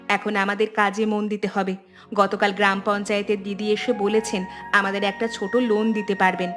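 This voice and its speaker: A woman's voice: medium pitch, lightly acted. A woman playing wronged young village sisters-in-law in a cartoon story, speaking in an earnest, plaintive way. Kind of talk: Bengali cartoon story dialogue. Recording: music behind the voice.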